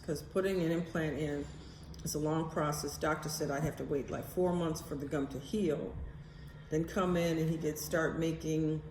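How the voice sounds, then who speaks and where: A woman with an American accent and a fairly low voice talks off the cuff like a vlogger. She is candid and blunt, working through her thoughts aloud. The room is noticeably echoey, with faint noise underneath. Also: a little mellow. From United States. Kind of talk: casual rambling personal storytelling. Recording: roomy echo, faint background noise.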